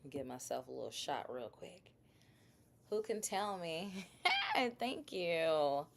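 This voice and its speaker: A woman's voice: sits low, sing-song, takes it slowly, creaky, slight background noise. A woman hosting a casual live sales stream, talking directly to her viewers and quizzing them on her products. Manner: chatty, addresses viewers directly, asks quiz questions. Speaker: livestream host selling bath products.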